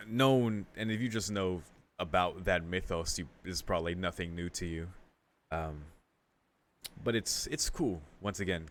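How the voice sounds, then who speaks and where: A man's voice: low voice, a bit bright, slight background noise. A man streaming a visual novel, reading the story text aloud and adding casual, musing commentary with some swearing.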